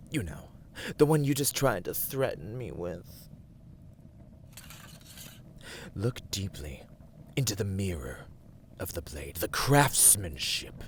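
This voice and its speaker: Male actor as teasing young villain for ASMR roleplay: low, unhurried, formal and condescending, slight background noise. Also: full character voice. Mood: teasing, menacing. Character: smug, teasing young male villain, dungeon-keeping warlord. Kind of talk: ASMR roleplay, scripted dramatic monologue.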